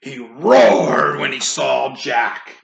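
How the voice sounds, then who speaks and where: A woman reading a children's story aloud in an animated way, with casual asides. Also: very deep voice, very slow, vocal fry, muffled recording, a crowd in the background. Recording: roomy echo, faint background noise.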